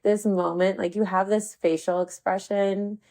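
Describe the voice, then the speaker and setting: Unhurried woman with a creaky voice. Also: mid-range voice, level tone, dark tone. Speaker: podcast host. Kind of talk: casual conversational interview.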